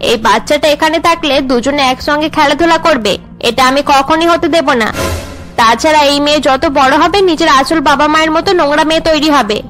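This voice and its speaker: A woman's voice: high-pitched, a little fast, fairly bright tone, faint music behind, low-quality recording. A woman voicing a jealous, spiteful young daughter-in-law who complains bitterly in a cartoon melodrama. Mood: disdainful. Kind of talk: Bengali cartoon melodrama dubbing.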